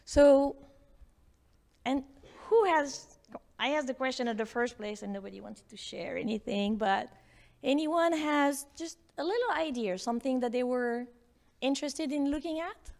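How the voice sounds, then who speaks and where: A woman's voice: high-pitched, normal speed, darkish tone. Woman speaker coaxing a student audience. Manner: coaxing, questions the audience directly. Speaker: workshop speaker. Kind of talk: live workshop talk to students. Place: lecture room with audience.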